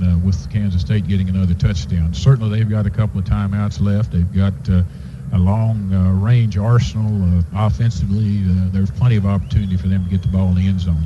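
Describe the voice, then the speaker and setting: A man giving halting, conversational football analysis as color commentator on a radio broadcast from a stadium booth. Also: on the low side, highs cut off, noisy background. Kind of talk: unscripted sports analysis.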